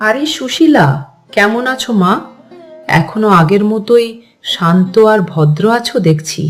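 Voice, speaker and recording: A woman's voice: medium pitch, lively intonation, measured pace, soft background music. A woman voicing a gossipy, scheming older grandmother in a cartoon story, with a chatty, pushy, persuasive manner. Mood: friendly, prying. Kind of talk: Bengali cartoon story dialogue.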